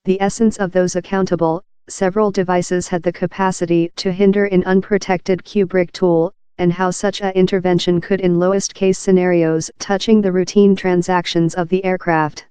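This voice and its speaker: Flat, very clear narrator reading an article. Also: medium pitch, moderate pace, hardly any pauses, no echo. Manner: reading aloud, impersonal and uninflected.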